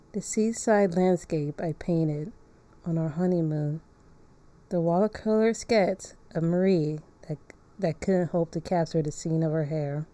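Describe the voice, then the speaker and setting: A woman reading aloud as a melancholy gothic painter narrating haunted memories in a visual novel, measured and reflective. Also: lowish voice, mellow, close to an everyday voice. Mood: wistful, regretful.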